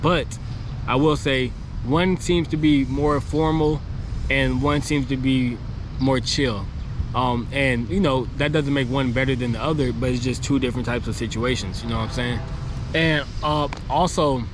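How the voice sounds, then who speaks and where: A man's voice: mid-range voice, steady tone, normal speed. A man who is losing his voice, talking casually to camera outdoors in a rambling, chatty way with lots of fillers. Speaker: travel vlogger. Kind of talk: casual vlog talk to camera. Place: outdoors on a city street near construction. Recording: noisy street with passing traffic. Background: traffic and city street noise.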